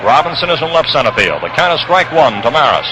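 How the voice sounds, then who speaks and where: An American man calling baseball play-by-play on 1960s radio, measured, with suspenseful pauses, on a noisy old broadcast recording. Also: fairly high pitch, very animated, lo-fi sound. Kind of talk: 1960s radio sports commentary. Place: ballpark broadcast position. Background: crowd hum, noise.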